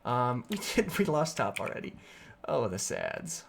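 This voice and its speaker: A game streamer giving live gameplay commentary in a raspy, sore voice, upbeat and explaining plays with sudden excited outbursts. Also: medium pitch, measured pace, noisy background. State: raspy, sore voice from energy drinks.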